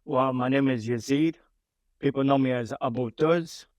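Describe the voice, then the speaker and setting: A man's voice: medium pitch, normal speed, a little mellow, no room sound, highs cut off. A man, a guest in a casual interview, telling his story with many repeats and restarts. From Brooklyn, New York. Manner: repeats words and restarts often.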